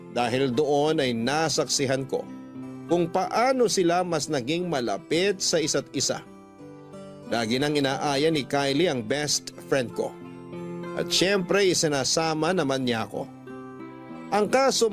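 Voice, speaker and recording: High-voiced man narrating over guitar music. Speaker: story narrator. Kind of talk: radio-style scripted story narration, reading a letter in first person. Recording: guitar music bed behind the voice.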